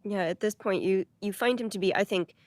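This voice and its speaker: A woman's voice: mid-range voice, brisk, no echo. A woman giving casual, thoughtful commentary on story scenes as a game writer.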